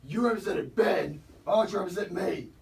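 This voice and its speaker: A man's voice: sits high, fairly quick, vocal fry, reverberant. An actor on a church stage playing a young man who pleads and protests against his judgment. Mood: pleading. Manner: pleading, indignant. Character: defiant young man facing damnation. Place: church stage set, indoors. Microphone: distant camera mic. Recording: noisy, distant stage voice.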